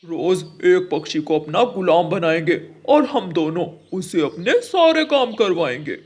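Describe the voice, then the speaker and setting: A man's voice: high-pitched, sing-song, unhurried, heavily acted. A man voicing a lazy, scheming crow in a cartoon story, grumbling and bossy. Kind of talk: Hindi cartoon story dialogue.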